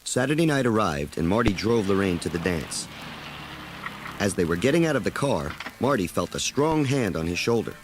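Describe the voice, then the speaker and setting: Low-voiced male storybook narrator. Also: sing-song, moderate pace, background noise. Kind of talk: scripted children's storybook narration.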